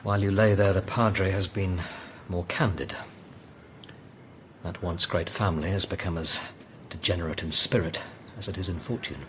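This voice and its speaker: A man acting a cool, cynical doctor in a radio drama. His very low voice is measured and formal, with ominous gravity, over a noisy background. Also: fairly even intonation, close to an everyday voice. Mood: grave. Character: grave, self-satisfied male doctor, cynical and cool.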